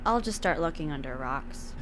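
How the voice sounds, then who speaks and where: An actress playing a plucky, practical young sidekick detective in an audio drama, insistent and direct. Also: female voice, low-pitched, lively intonation, moderate pace, a bit bright, a little hiss or hum. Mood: assertive. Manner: direct, questioning.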